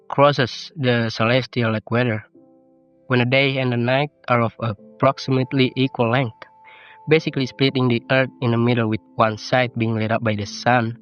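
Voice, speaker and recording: Teenage male vlogger, Indonesian accent, non-native English, reflective and self-deprecating, slightly lo-fi voice-over with clear music underneath. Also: mid-range voice. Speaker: YouTuber. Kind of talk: personal vlog narration. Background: game soundtrack music.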